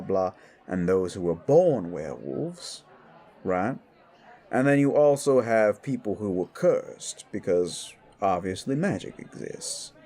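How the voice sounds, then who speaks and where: A man playing a wise, frank supernatural mentor who gives blunt, measured counsel in an audio drama. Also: lowish voice, warm tone. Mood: explanatory. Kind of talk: scripted audio drama dialogue.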